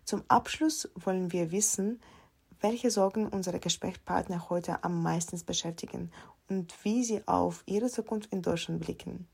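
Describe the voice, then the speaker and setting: A woman narrating a report in a measured, informative, scripted way. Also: lowish voice, creaky, a little mellow, husky.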